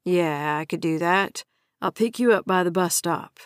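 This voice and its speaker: A cocky grown man, as a woman narrator puts him on, speaking low and soothing with put-on sympathy. He sounds warm on the surface and manipulative underneath, in a dry, close audiobook read. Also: measured pace, clean tone, no room sound, close to an everyday voice. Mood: casual.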